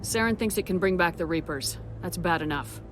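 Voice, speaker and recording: A woman's voice: fairly low pitch, a little fast, soft background music, natural voice. A woman acting a determined, blunt military commander in a video game, speaking firmly and directly. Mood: serious. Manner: firm, direct.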